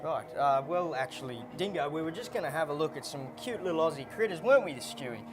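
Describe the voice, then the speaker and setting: A man's voice: fairly high pitch, lively intonation, fast talker, music in the background, close to an everyday voice. A man acting a flustered, indignant minor-celebrity host in a scripted comedy show.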